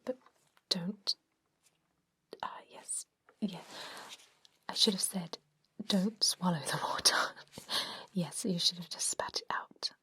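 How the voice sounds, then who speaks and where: A woman whispering an ASMR roleplay as a gentle, reassuring doctor, breathy and mostly unvoiced, giving step-by-step instructions. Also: lowish voice, takes it slowly, long pauses. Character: calm, reassuring female vampire doctor examining a patient.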